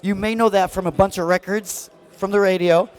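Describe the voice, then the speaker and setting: A man with a noticeably high voice presents a software demo. He talks casually and chattily, like someone showing gear to fellow enthusiasts, with a jokey, slangy manner. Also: steady tone, moderate pace. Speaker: product demonstrator at a music trade show.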